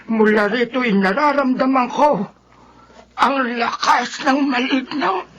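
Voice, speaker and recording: An actor playing a solemn old healer who confronts evil spirits in a horror radio drama, deliberate and forceful. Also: male voice, very high-pitched, muffled recording, exaggerated voice. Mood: grave, ominous.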